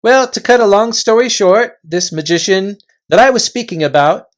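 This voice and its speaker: A high, loud invisible chief from a storybook, as acted out by a reader. He is a simple-minded, blustering servant spokesman who rambles in folksy repetitions, his pitch bouncing about. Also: expressive, moderate pace, natural voice. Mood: rambling, explanatory.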